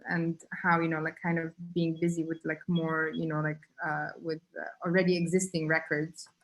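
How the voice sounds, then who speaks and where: Woman guest in an online talk, lowish voice, halting, reflective, lots of "you know, like". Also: level tone, poor audio. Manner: halting, thinking aloud with many fillers. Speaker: guest artist. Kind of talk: casual online conversation.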